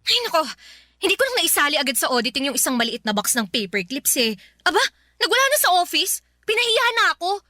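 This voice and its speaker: Intense, chatty young Filipina girlfriend, radio drama, high bright voice, expressive and nagging. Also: fast, no room sound, clean studio sound, exaggerated voice. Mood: exasperated. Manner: expressive, nagging, exasperated exclamations. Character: emotional, chatty, astrology-obsessed girlfriend who loves intensely.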